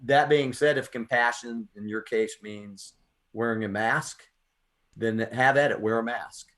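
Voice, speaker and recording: A man's voice: medium pitch, measured pace, fairly bright tone. An American man hosting a poetry radio show, talking casually and earnestly, often repeating words as he thinks aloud. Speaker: poet and radio show host. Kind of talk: casual reflective conversation.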